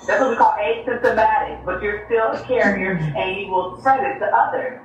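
A doctor calling in to a radio show, explaining medical points in a measured, emphatic way. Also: medium pitch, heavy reverb, distant mic, lo-fi sound, faint music behind. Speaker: urgent care doctor, caller. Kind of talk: phone-in explanation.

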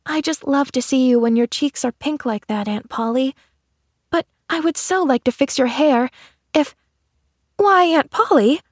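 A woman's voice: sits high, unhurried, dry recording, studio quality, voice pushed up. A woman voicing an eager, cheerful, affectionate young girl in a storybook, with a gushing, exclamatory manner. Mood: fond, eager. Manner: gushing, exclamatory, breathless wonder. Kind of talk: storybook character acting.

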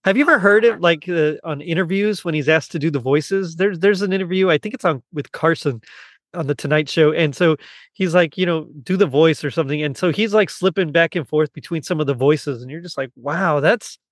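A man's voice: on the high side, fairly quick, no room sound, clean studio sound. A middle-aged man in a casual fan chat, talking as a podcast co-host.

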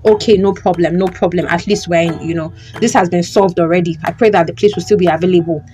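A woman's voice: lowish voice, steady tone, fast talker, dark tone, soft background music, close to an everyday voice. A woman playing a kind, reassuring older female friend in an audio drama, with a Nigerian accent. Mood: caring. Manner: reassuring, repetitive.

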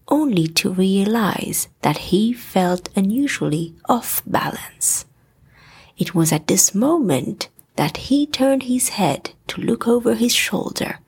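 A woman's voice: medium pitch, gravelly, darkish tone, breathy edge. A woman storyteller reading a scripted bedtime story.

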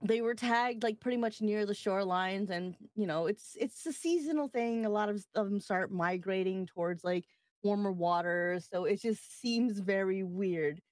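A woman from the US East Coast co-hosting a podcast, chatting in a giggly, animated way and swearing casually. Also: medium pitch, moderate pace, dry recording. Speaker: podcast co-host. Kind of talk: casual chat.

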